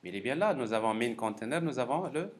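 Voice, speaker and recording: A man giving a live technical software lecture as a professor, halting and repeating words as he thinks aloud. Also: medium pitch, expressive. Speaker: university professor teaching a class. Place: classroom during a live course session. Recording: clearly noisy classroom recording.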